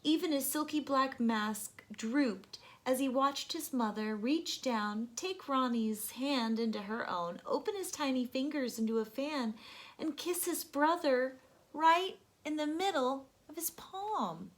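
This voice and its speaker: A woman reading a children's storybook aloud in a gentle, deliberate, expressive narration. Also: high-pitched.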